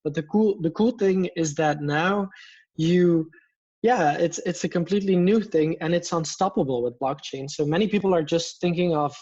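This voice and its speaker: A man's voice: fairly high pitch, moderate pace, darkish tone. Upbeat Belgian man on a muffled call. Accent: Belgian Dutch. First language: Dutch. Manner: enthusiastic, talks with his hands. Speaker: guest. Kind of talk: casual interview over video call. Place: home room with plants and posters. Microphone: headset headphones on video call. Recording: muffled lo-fi video call audio.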